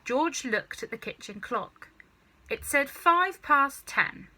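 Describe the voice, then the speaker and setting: A woman reading a children's story aloud in an expressive, dramatic, emphatic way. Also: high voice, slow pace. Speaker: storybook reader.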